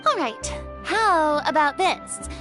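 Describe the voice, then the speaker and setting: A high-voiced, theatrical young lady from a video game. She is proud but friendly and a touch haughty, swinging expressively through her lines over clear background music. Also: sing-song, takes it slowly, heavily acted. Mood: confident, exacting. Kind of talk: English video game voice acting. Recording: music behind the voice.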